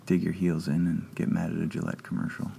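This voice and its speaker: A man in casual conversation as an interview guest, talking haltingly with many restarts and fillers. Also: sits low, monotone, creaky, mellow, slight background noise.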